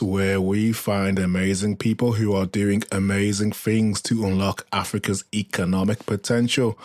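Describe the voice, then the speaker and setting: A man's voice: lowish voice, no echo. Male podcast host, dry close recording. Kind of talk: podcast interview hosting.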